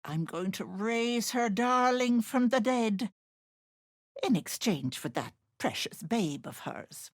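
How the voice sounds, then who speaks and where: Old hag, game villain, sugary and menacing, animated swooping pitch, unhurried, dry close sound. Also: female voice, no echo. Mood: mock sympathy. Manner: sugary endearments with sly, mocking menace. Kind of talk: video game character acting.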